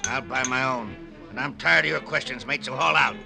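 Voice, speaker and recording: A man playing a gruff, belligerent old sea dog who runs a boatyard, with gravelly voice and salty sailor talk, in 1940s radio style. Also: medium pitch, brisk. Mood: surly, scornful. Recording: old radio broadcast with music.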